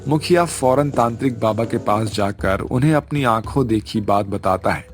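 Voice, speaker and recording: A man's voice: on the low side, normal speed. A man narrating a scripted cartoon story as the storyteller. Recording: music under the voice.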